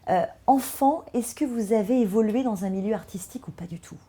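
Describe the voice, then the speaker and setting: A woman's voice: mid-range voice, brisk, dark tone, husky. A woman hosting an interview, asking friendly questions with frequent hesitations. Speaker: host.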